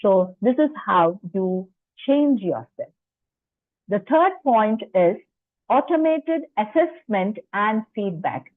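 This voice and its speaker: A woman's voice: mid-range voice, animated, takes it slowly, no room sound. A woman lecturer presenting at a faculty workshop, explaining in a chatty way with personal asides and rhetorical questions. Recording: dry tinny phone-like line.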